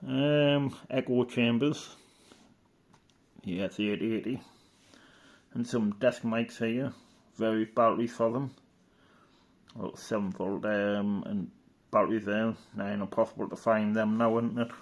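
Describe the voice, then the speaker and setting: A man's voice: fairly low pitch, steady tone, a little slow, long pauses. A man giving casual, rambling show-and-tell commentary as a hobbyist, matter-of-fact and fond of tag questions. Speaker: hobbyist presenter.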